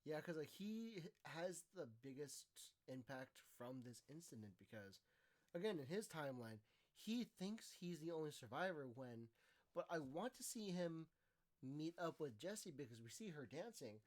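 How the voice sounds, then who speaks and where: Male co-host, slightly high voice, stumbles and restarts while explaining. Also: very animated. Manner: halting, many fillers and restarts while explaining. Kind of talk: casual reaction chat.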